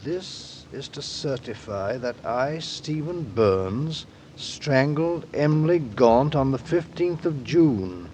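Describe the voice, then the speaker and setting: An actor playing a methodical, formal police inspector with a measured, probing manner, in 1940s radio style. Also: male voice, mid-range voice, expressive, a little mellow, slight background noise. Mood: grave, formal.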